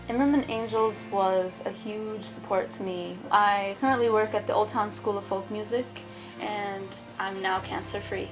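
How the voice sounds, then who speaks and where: A woman with a mid-range voice speaks earnestly and reflectively, in an even, sincere testimonial manner. Faint music plays softly under her words. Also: mid-range voice, moderate pace, low-quality recording. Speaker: cancer survivor giving a testimonial. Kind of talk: personal testimonial.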